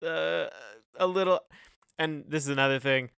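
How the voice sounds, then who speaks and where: A man's voice: fairly high pitch, moderate pace, vocal fry, a bit bright, smooth, no room sound, clean studio sound. A man co-hosting a podcast in casual discussion, hedging and thinking aloud as he goes. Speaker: podcast co-host. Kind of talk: casual discussion of song lyrics.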